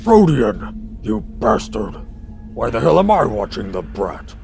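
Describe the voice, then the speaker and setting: A surly middle-aged lab technician for an audio drama. His low, slow voice sneers with bitterness and open insolence. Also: very animated, creaky, darkish tone, soft background music, full character voice. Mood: angry, sneering.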